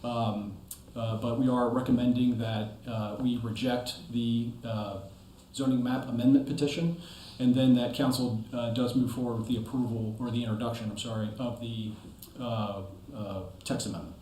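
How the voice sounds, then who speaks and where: A man's voice: mid-range voice, little pitch movement, moderate pace, dark tone, echoey room, slight background noise, rough recording. A man giving a staff recommendation at a council meeting, hesitant with many uhs and self-corrections. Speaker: town staff member. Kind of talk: formal staff report.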